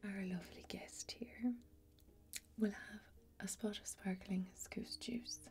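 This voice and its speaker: A young woman playing a self-assured, mysterious warrior woman in a whispered fantasy ASMR role-play, with a creaky voice. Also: mid-range voice, level tone, slow pace, lightly acted. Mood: cool, confident. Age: young adult.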